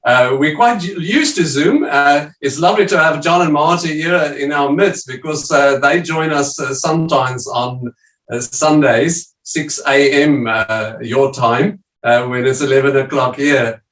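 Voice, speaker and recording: A man's voice: a little high, unhurried, a bit bright, roomy sound, distant mic. A South African man presenting to a church group over a video call, speaking hesitantly with many fillers. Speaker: visiting church leader presenting. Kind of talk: casual talk on a video call.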